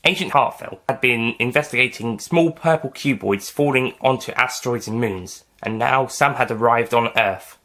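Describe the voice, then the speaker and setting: A man's voice: medium pitch, moderate pace, hardly any pauses, pushed and bright. A man reading scripted science fiction story narration as its narrator. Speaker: author and narrator.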